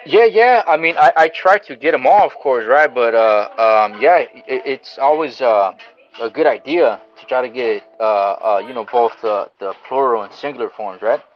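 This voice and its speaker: A man's voice: medium pitch, normal speed. A man in a casual online chat, friendly, calling the other speaker "brother" and using many fillers. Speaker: guest speaker. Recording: dull lo-fi call audio with faint noise.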